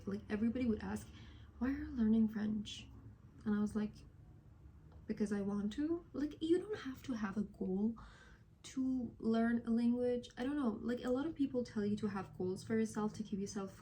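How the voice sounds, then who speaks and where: A woman's voice: a little high, normal speed, warm tone, a little hiss or hum. A young woman in her twenties with a light Persian accent, chatting casually and rambling to camera about learning languages. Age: 20s. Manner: chatty and rambling, self-deprecating asides. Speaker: vlogger. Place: indoors at home at golden hour, with iced coffee.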